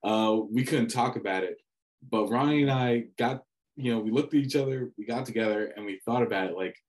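A young man, a student sports broadcaster, telling a story in casual conversation, animated and full of restarts and fillers. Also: medium pitch, a little fast, poor audio. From Dominican family background. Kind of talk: casual reflective chat.

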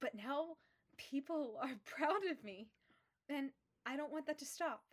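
A woman's voice: high voice, animated, unhurried. An actress playing an anxious, resentful middle-school girl in an audio play, with an emotional, exclamatory delivery. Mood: hurt, proud.

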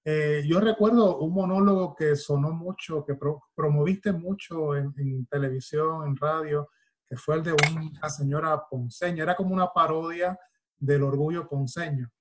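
Male host, slightly high voice. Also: steady tone, moderate pace. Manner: attentive, frequent short backchannels. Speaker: podcast host, interviewer. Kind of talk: interview questions.

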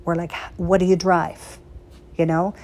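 A woman's voice: lowish voice, little pitch movement, unhurried, a little mellow. A woman from the Netherlands, chatting casually and emphatically as she tells personal stories in short, punchy phrases. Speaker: boutique owner hosting a solo social media chat. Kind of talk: casual chat, personal storytelling.

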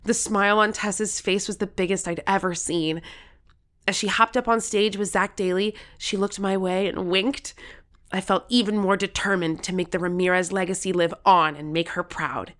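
A woman's voice: medium pitch, steady tone, moderate pace, a bit bright, lightly acted. A woman playing an eager, competitive thirteen-year-old girl who tells her own story in an audio drama. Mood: eager storytelling. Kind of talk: first-person audio drama narration.

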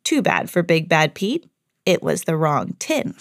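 Mom-type lady reading kids a fairy tale, super animated, dry close recording. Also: fairly low pitch, measured pace, no room sound. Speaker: podcast host and children's story reader. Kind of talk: animated scripted storytelling for children.

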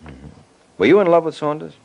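An American actor playing a suave, unflappable private detective in an old radio drama, trading sardonic, breezy banter. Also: male voice, fairly high pitch, huge pitch swings, brisk, creaky, dull audio, exaggerated voice. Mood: flirtatious, insistent. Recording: old radio recording, background noise.

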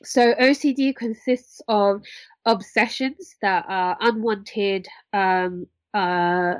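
Casting: young woman, mid-pitched, earnest podcast guest explaining conversationally, close dry recording. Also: steady tone, slow pace, no room sound. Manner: earnest, explanatory, hedging with "sort of". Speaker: podcast guest, mental health worker.

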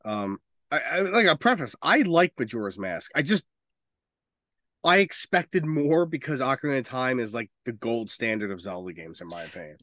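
A man's voice: medium pitch, big pitch swings, moderate pace, fairly bright tone, dry recording. Blunt man in casual podcast chat, dry sound. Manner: blunt, frequently swearing. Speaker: podcast co-host. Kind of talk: casual opinionated chat about games and films.

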